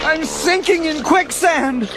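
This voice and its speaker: A woman voicing panicked animals crying out loudly in a storybook audiobook. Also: high voice, slow, noisy background. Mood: panicked pleading. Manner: loud, pleading calls. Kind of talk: audiobook character acting. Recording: music and crowd sounds behind.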